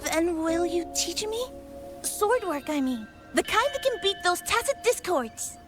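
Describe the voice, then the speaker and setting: A woman's voice: very high voice, very animated, a little slow, over music, heavily acted. A woman voicing a stubborn, earnest young girl in grief, as in a game drama. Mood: earnest, hesitant.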